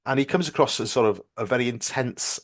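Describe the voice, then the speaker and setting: A man's voice: mid-range voice, a little fast. A man interviewing a guest on a podcast, polite and effusive, feeling his way through questions. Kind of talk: casual interview chat.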